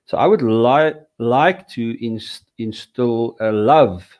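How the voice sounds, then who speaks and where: A man's voice: mid-range voice, takes it slowly, a little mellow. Hesitant South African man teaching Hebrew. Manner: hesitant, many fillers and restarts. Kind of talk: casual interview conversation.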